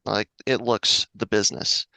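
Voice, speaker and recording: Bright gravelly man, dry sound. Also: on the low side, flat delivery, normal speed, no room sound. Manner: enthusiastic, casual, filler words like "like". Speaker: interviewer, co-host. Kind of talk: casual interview chat.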